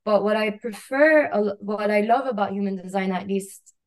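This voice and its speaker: A woman's voice: medium pitch, moderate pace. A woman from Kuwait, a spiritual coach, talking as a podcast guest in a reflective, casual way with lots of "like" and "you know.". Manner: reflective, many "like" and "you know" fillers, trailing off quietly. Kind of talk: casual interview chat.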